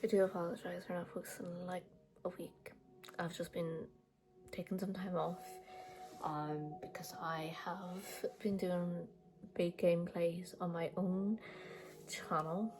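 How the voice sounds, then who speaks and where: Young Irish woman vlogger, a bit low-pitched, blunt, chatty, laughs between points. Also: steady tone, unhurried, rough recording. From Ireland. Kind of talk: casual chat to camera.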